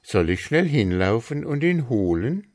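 A man's voice: medium pitch, sing-song, measured pace, darkish tone, voice pushed up. A man voicing a cheeky, clever little boy in a storybook reading, eager and wheedling.

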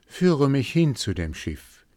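A man's voice: mid-range voice, big pitch swings, slow pace, warm tone, no room sound, studio quality, voice pushed up. A man voicing a young queen in a fairy tale, with a raised, exclaiming voice. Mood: delighted. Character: young queen, a princess, easily delighted.

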